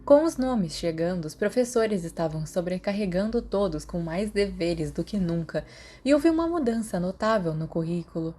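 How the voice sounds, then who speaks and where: A woman's voice: fairly low pitch, expressive, moderate pace, mellow. A woman with a Brazilian accent reading a story aloud as scripted audiobook narration.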